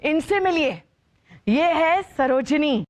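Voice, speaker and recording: A woman's voice: high-pitched, lively intonation, slow. An actress playing a stately, impatient queen in a TV sitcom, formal and commanding. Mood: brisk, announcing. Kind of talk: TV sitcom acting. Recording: loud music over the dialogue.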